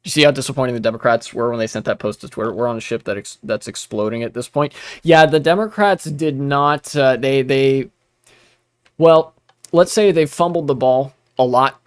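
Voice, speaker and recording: A man's voice: medium pitch, normal speed, no echo, clean studio sound. A young man streaming while gaming, talking casually with many restarts and blunt asides.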